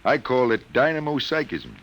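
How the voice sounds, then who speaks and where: An actor playing an anxious, polite professor in a vintage radio drama, with an American accent and hesitant, formal phrasing. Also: male voice, medium pitch, big pitch swings, moderate pace, a bit bright. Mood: wry, explaining. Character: anxious, polite professor burdened by terrifying mental power. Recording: old radio drama recording.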